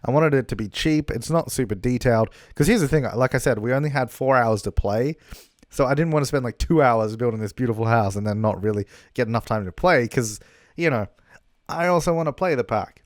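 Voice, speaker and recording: A man's voice: medium pitch, darkish tone, dry recording, studio quality. Chatty young man, slightly worn voice. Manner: chatty and rambling, with frequent fillers and laughs. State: losing his voice a little. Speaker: gaming YouTuber. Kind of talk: casual gameplay commentary. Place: hotel room. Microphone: travel recording setup.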